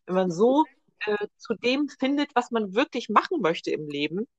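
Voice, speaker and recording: A woman's voice: fairly high pitch, big pitch swings, moderate pace, dull audio. A woman hosting a podcast interview, friendly and hesitant, with many fillers. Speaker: podcast host. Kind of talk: casual interview.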